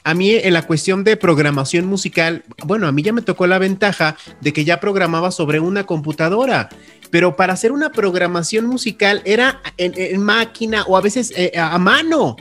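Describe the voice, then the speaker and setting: A man's voice: high voice, normal speed, fairly bright tone, soft background music. A man, a radio host speaking reflectively and earnestly in a casual panel chat, with many restarts.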